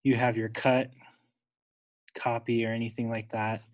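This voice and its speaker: Man giving a step-by-step software tutorial. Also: medium pitch, level tone, a little slow, highs cut off. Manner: instructional, wordy, with self-corrections. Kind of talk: unscripted step-by-step screen tutorial.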